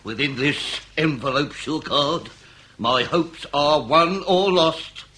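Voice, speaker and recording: A man's voice: on the high side, slow, sharp tone, slight background noise. An actor playing a theatrical Victorian police inspector in a period comedy radio drama, British, eccentric and grandly savoring his own cleverness. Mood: savoring, dramatic.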